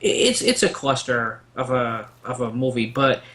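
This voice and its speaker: A man's voice: mid-range voice, animated, moderate pace, a bit bright. A man on a casual pop-culture debate panel, sharing trivia in a rambling, anecdotal and emphatic way.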